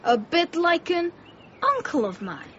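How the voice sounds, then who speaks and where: Headstrong boy for radio drama: very high, sharp and animated, slightly muffled broadcast. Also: measured pace, background noise, exaggerated voice. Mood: cocky. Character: Jim, reckless defiant boy eager to grow up.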